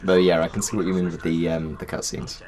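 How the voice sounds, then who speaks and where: A man chats as a gaming co-host. His voice sits fairly low, and his casual banter is dry and joking. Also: level tone, fast, a crowd in the background.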